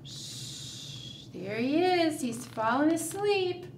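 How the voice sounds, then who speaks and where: Middle-aged woman, children's storytime reader, high voice, sing-song and coaxing, roomy distant recording. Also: lively intonation, drawn-out words. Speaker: children's librarian leading preschool storytime. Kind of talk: storytime read-aloud and chat addressed to small children. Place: small indoor room, seated at a table. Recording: roomy, distant mic, faint room noise.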